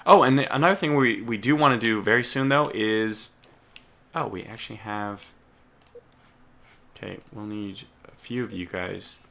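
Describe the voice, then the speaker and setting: A man with a bright, forceful edge on a somewhat low voice talks his way through a strategy game. He is going hoarse, and he mutters orders and asides to himself as he plays. Keyboard typing sounds behind him. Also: moderate pace. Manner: muttering to himself while playing. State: losing his voice, clearing his throat. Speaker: let's play host. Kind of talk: casual gameplay commentary.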